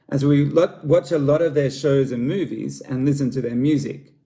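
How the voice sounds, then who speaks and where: A middle-aged Australian man giving a friendly, measured talk to students about his country as a school library director. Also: mid-range voice, gravelly, warm tone. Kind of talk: scripted cultural presentation for students.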